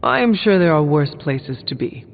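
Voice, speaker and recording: Polite, inquisitive hero for a video game: measured delivery, narrow muffled recording, music underneath. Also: male voice, fairly high pitch, sing-song, vocal fry. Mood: curious, level. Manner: polite, measured, formal phrasing. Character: earnest, measured male hero, curious newcomer to the team. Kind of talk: video game dialogue acting.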